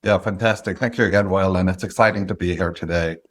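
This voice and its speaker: Man, norwegian accent, very low pitch, interview guest, measured with pauses. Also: fairly even intonation. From Norway. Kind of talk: interview conversation.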